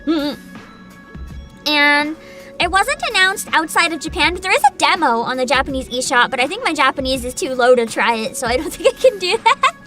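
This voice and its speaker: A woman hosting a livestream, chatting casually with viewers in an excitable, bubbly, giggly manner. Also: high voice, fairly quick, music in the background. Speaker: livestreamer host.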